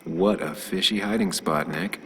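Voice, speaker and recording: An actor playing a weary, sardonic journalist loner in a video game, with a wry, self-musing manner. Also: male voice, low voice, unhurried, a bit bright, big-room sound, slight background noise. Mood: pensive, wry. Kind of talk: video game dramatic acting and inner monologue.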